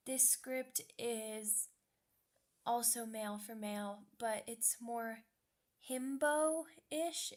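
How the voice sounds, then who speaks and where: A woman who makes ASMR audio, casually addressing her listeners with an announcement. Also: fairly high pitch. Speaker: ASMR creator.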